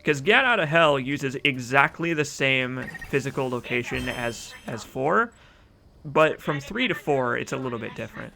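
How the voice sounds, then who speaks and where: Man, casual game stream commentary, rambling, gravelly edge, bright tone. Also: mid-range voice, expressive, moderate pace, a little hiss or hum. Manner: chatty, rambling, reads chat aloud, laughs often.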